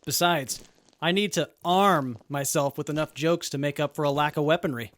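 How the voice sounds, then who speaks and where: A man's voice: on the high side, moderate pace, a bit bright, close to an everyday voice. A man playing a polite, upbeat young traveler who jokes through hardship, in a post-apocalyptic audio drama. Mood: joking.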